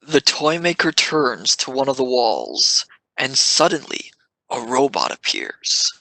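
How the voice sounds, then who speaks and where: A man's voice: medium pitch, very animated, slow, vocal fry, bright, forceful tone, poor audio. A man reading scripted scene narration for an audio drama.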